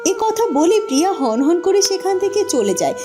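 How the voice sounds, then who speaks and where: A woman's voice: very high voice, big pitch swings, moderate pace, music in the background. A woman giving scripted storybook narration for a cartoon folk tale.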